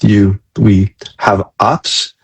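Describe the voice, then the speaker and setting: A man's voice: on the low side, flat delivery, drawn-out words, husky. A man hosting a conversational interview, speaking reflectively and hesitantly, repeating words as he searches for phrasing. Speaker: interview host.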